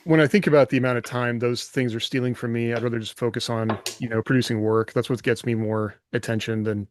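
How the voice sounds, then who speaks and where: A man's voice: medium pitch, fairly even intonation, fast talker. A man talking casually as a co-host on a writers' podcast, sharing his own experience. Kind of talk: casual panel chat.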